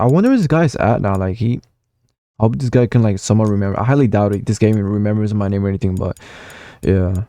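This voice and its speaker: A young man of about nineteen talks in a loose, rambling way, heavy on "like" and the occasional swear. His voice sits low and mellow, with no brightness to it. The sound is close and dry, with no room echo. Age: young adult. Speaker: gaming vlogger. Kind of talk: casual reminiscing commentary.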